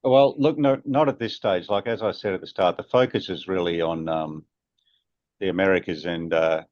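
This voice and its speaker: Low-voiced male executive, dull call audio. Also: moderate pace, no room sound. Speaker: company chief executive. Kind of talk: earnings call answers. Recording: dull, band-limited conference call audio.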